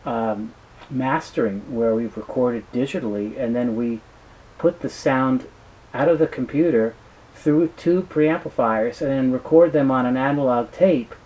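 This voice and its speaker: A man being interviewed, talking casually and explaining things in a halting way with frequent restarts. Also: mid-range voice, darkish tone, low-quality recording. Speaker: business founder being interviewed.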